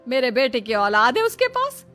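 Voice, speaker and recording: Woman acting a high, melodramatic, domineering mother-in-law. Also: very animated, normal speed, fairly bright tone, faint music behind. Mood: alarmed, dismayed. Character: domineering, scheming mother-in-law desperate for a grandchild. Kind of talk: melodramatic Hindi cartoon voice acting.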